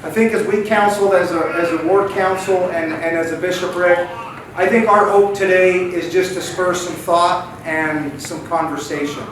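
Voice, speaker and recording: A man introducing a church lesson, earnest and halting, often repeating his words. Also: high-pitched, fairly even intonation. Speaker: bishopric member leading a church lesson. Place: church meeting room. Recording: echoey hall, distant mic, noisy.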